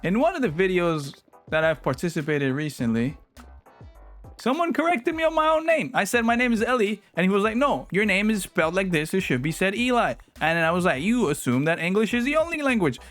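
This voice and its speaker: Lively playful male streamer, faint music. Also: high-pitched, brisk. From Latin America. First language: Spanish. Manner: animated, playful, teasing with chat. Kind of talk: casual live-stream chat.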